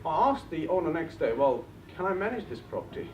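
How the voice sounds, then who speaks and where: A man's voice: on the high side, big pitch swings, brisk, darkish tone. Bulgarian-accented man, distant in a hall. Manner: earnest, rallies the crowd. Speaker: property investment speaker. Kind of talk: motivational seminar talk. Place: event hall. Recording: roomy, distant, dull lo-fi with faint noise.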